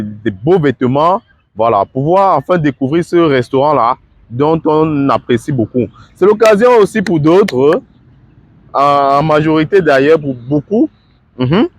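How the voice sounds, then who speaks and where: Man with the flu, earnest vlog monologue, slightly high voice, dry close sound, repeats himself often. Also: no echo. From Africa. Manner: repetitive, stammering, fillers like "hein"; earnest, advising. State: has the flu. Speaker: vlogger. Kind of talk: casual monologue to followers.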